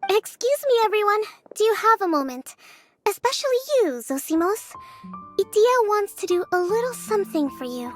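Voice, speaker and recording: Very high-pitched bubbly little girl. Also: big pitch swings, normal speed, soft background music. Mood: polite, sincere. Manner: eager, childlike, polite. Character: bubbly, wide-eyed little girl, polite and imaginative. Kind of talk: animated game cutscene acting.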